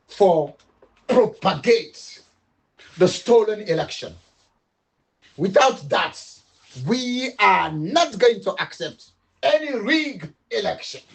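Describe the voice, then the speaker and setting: A middle-aged man giving an impassioned political appeal, emphatic and repetitive, switching between English and Dutch. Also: high-pitched. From Sierra Leone. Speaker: political commentator.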